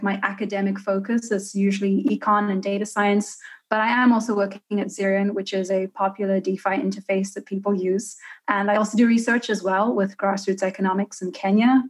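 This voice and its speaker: A young South African woman in her twenties, talking freely as a guest in a relaxed interview. She is chatty and lively, laughing often, speaking into a desk mic in a room at home. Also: mid-range voice. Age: 20s. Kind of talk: casual interview talk. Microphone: studio mic on a desk stand.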